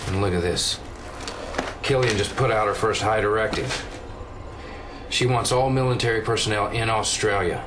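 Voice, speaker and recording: An actor playing a cynical but loyal military officer in a video game cutscene, with a colloquial, indignant way of talking. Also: male voice, fairly low pitch, normal speed, long pauses, background noise, lightly acted. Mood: resigned.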